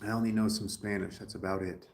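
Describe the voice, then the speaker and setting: A man's voice: fairly low pitch, level tone, fast, vocal fry, a little hiss or hum. A man hosting a casual livestream from his garage. He greets chatters by name and talks loosely about effort. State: dirty after a day of work. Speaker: livestream host.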